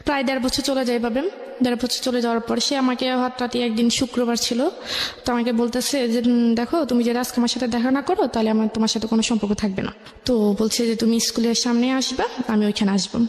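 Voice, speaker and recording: Woman, Bangladeshi Bengali accent, highish voice, personal storytelling, reported dialogue, heavy reverb. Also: steady tone, fast talker, a little mellow. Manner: recounts conversations as reported dialogue. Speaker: guest telling her life story. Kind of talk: personal storytelling in a radio interview. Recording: echoey room with long reverb.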